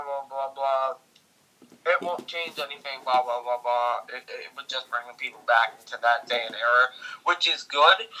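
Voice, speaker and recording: A man's voice: on the high side, bright, forceful tone, low-quality recording. A young man in casual film discussion, opinionated and insistent, often jumping in over the other speaker.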